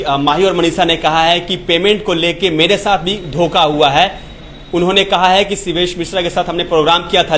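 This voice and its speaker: A man with a fairly high voice delivers unscripted entertainment news to his viewers. He phrases things emphatically and repeats himself, often stopping to restart a phrase. Light noise sits behind his voice. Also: a bit bright. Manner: repetitive, emphatic phrasing with rhetorical questions. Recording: clear background noise.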